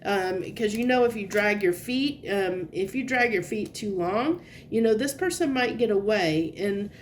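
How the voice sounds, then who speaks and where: A woman's voice: mid-range voice, animated, unhurried, slight background noise. A woman with a congested, sniffly voice giving a casual tarot reading to camera. She meanders and restarts as she searches for words. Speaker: tarot reader.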